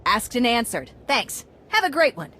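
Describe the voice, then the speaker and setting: An actress playing a brash, evasive underworld informant in a video game, with a flippant, sarcastic, casual way of talking. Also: female voice, fairly high pitch, very animated, normal speed, pushed and bright, full character voice.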